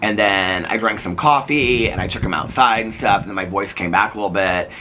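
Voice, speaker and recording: A man's voice: fairly low pitch, fairly quick, sharp tone. A man in his fifties with a Midwestern American accent, losing his voice so it sounds crackly, rambling chattily to camera on an outdoor porch. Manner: rambling, chatty, many fillers and restarts. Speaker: vlogger. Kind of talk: casual chat to camera.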